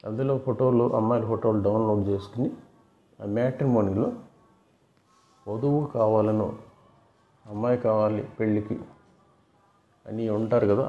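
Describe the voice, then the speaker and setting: A man's voice: fairly low pitch, creaky, mellow. A man explaining things casually to viewers as a video host, often repeating and rephrasing his points. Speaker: video host giving fraud awareness advice. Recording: roomy, dull lo-fi sound.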